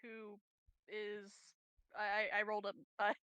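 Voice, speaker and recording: Bright, even-toned woman at game table. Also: mid-range voice, unhurried, smooth, dry recording, dull audio. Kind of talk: casual game-table chat.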